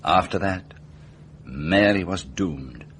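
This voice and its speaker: An actor playing a meticulous, self-assured detective in a radio mystery drama, setting out a case deliberately with rhetorical questions. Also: male voice, deep voice, a bit bright, slight background noise. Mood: grave, assured.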